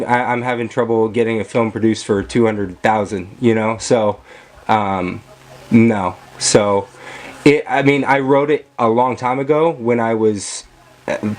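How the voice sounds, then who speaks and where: A man chatting casually on a video call about his film projects, rambling with many fillers and restarts. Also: medium pitch. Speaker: vlogger and filmmaker. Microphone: camera mic.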